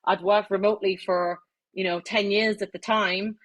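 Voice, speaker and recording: A woman's voice: medium pitch, fairly even intonation, normal speed. A woman giving an informal online presentation, chatty, with many fillers and restarts. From not Irish, living in Ireland. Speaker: webinar speaker. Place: online webinar, video call.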